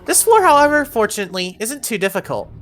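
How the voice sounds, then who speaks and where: A woman's voice: a little high, sing-song, fast, fairly bright tone, slight background noise. A woman narrating an instructional video game walkthrough, giving encouraging step-by-step directions.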